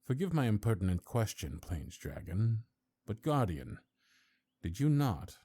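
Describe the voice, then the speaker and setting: A stately, ancient high councilor from a fantasy audio drama, acted by a man. The voice is low and soft-edged, with an expressive, rolling melody. He speaks formally and pompously, laying on courtesy with sly condescension. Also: a little slow, breathy edge, heavily acted. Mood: slyly probing. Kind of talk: audio roleplay fantasy acting.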